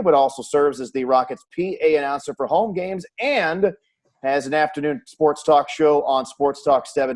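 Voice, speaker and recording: Male sports host, fairly high voice, upbeat, playful teasing, conversational announcer. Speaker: talk show host, sports play-by-play announcer. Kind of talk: upbeat hosted sports chat.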